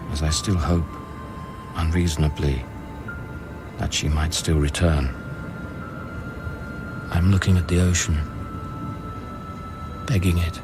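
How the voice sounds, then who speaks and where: A man acting in a radio play as a grieving, contemplative scientist on an alien world, speaking in a hushed, deliberate way. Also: low voice. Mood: grieving, yearning. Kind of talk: radio drama acting with first-person narration. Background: music.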